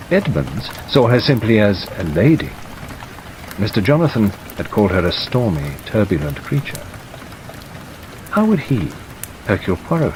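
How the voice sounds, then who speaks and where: A man's voice: lowish voice, poor audio. A man reading scripted, dramatic audiobook narration. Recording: steady rain noise over narration.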